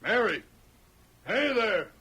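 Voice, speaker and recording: An actor playing a gruff, greedy swindler with rough rural speech in a 1940s radio western. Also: male voice, high voice, sing-song, takes it slowly, bright, forceful tone, heavily acted. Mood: angry, urgent.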